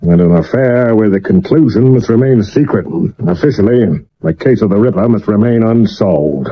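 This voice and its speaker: Husky Victorian police inspector. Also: male voice, fairly low pitch, expressive. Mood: grave, explanatory. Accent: British. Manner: deferential, measured, explanatory. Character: sober, matter-of-fact Victorian London police inspector. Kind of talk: 1970s radio drama acting. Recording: dull medium-wave radio recording.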